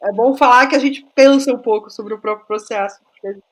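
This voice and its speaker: Brazilian woman, higher voice, rambling and halting. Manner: digressive, halting, often repeats and restarts words. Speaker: podcast guest, playwright. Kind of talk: casual interview conversation.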